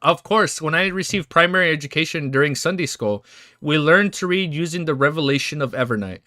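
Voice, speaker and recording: A man's voice: a little high, pushed and bright, no room sound. Rambling young man streaming. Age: 20s. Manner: rambling, swearing, quick to laugh. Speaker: livestream host. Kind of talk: casual livestream chat and reading aloud.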